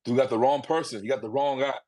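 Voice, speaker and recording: Animated man with a Liberian accent. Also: medium pitch. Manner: animated, acts out quick dialogues in put-on voices. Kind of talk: casual monologue to camera.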